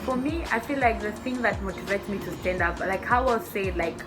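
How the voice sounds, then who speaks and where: A woman's voice: mid-range voice, a little fast, music in the background. A young woman from Tanzania, a medical student, speaking hesitantly with many fillers in a casual group discussion. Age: young adult.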